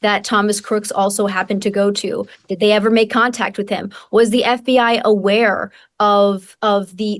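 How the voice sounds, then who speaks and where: American woman commenting, halting and repetitive. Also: medium pitch. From Wisconsin, United States. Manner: halting, repeats words mid-sentence. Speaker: guest journalist. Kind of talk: political commentary in conversation.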